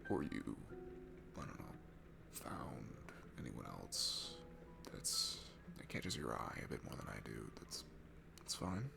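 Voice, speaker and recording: Male actor as a nervous sweet bar stranger, deep gravelly voice, slow halting stammer, self-deprecating, hushed asides, ASMR roleplay, music behind. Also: very animated, full character voice. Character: shy, nervous, sweet young man alone at a bar. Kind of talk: intimate ASMR roleplay audio acting.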